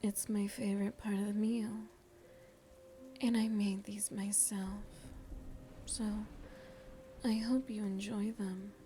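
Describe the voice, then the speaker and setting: A woman voicing a seductive, motherly vampire hostess in an ASMR roleplay, breathy and soft, with a tender, teasing manner. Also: medium pitch, little pitch movement, slow, pauses often, a little hiss or hum, lightly acted. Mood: sweet, inviting. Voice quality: breathy, whispery.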